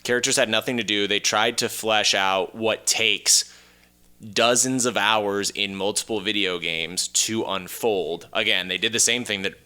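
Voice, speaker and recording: A man with a fairly low voice, bright and very clear. He talks as a podcast host in casual discussion, stating opinions with emphasis. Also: fairly even intonation, measured pace. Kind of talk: casual film and video game discussion.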